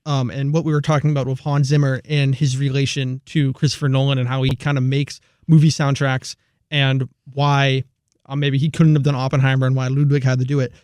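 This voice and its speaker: A man's voice: mid-range voice, a little fast, clean tone, no room sound, studio quality. A young man hosting a college radio show, chatting casually about film scores with lots of fillers. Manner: relaxed, rambling, many fillers.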